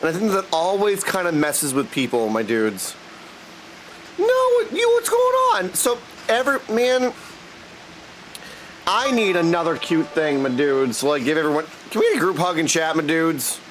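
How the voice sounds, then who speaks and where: A man's voice: on the high side, wildly swooping pitch, moderate pace, a bit bright, slight background noise, low-quality recording. A man chatting casually and goofily with viewers in slangy language while livestreaming a game. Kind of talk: casual livestream chat over gameplay. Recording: music behind the voice. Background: game music.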